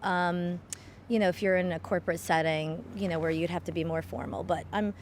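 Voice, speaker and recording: A woman's voice: on the low side, steady tone, brisk, a little hiss or hum. A woman answering a street interview in a chatty, informal way.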